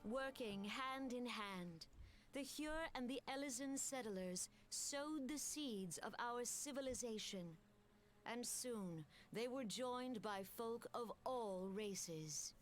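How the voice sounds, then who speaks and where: A woman voicing a calm, dignified elder leader in a video game, giving a solemn speech about her nation's history. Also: fairly high pitch, takes it slowly.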